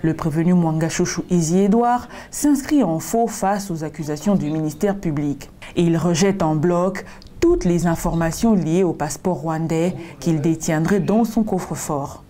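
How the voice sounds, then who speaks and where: A woman reporter reading a scripted news narration in a measured, formal way. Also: lowish voice, warm tone.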